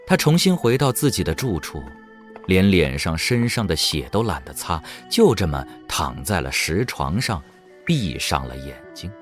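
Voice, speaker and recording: A man giving dramatic scripted narration for an audio drama. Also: sits low. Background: faint music.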